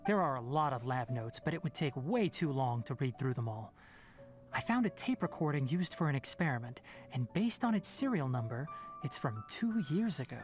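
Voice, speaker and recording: A man voicing a youthful, analytical scholar in a video game, measured and precise, with a slightly dry manner. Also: mid-range voice, sing-song, soft background music, natural voice. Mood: explanatory.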